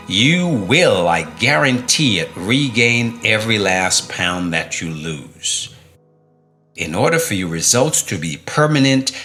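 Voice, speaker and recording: A man's voice: mid-range voice, sharp tone. A middle-aged man coaching viewers on fitness and mindset in an emphatic motivational talk, repeating key phrases and asking rhetorical questions.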